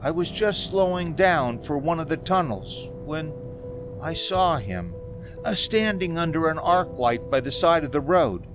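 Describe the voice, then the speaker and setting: An actor playing an uneasy, haunted motorist who tells his story in a hesitant, deliberate radio drama style. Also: a little high, lively intonation, music in the background. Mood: haunted, tense. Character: uneasy, haunted male motorist recounting a strange journey. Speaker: narrator and lead actor. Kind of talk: radio drama monologue, old-time radio acting.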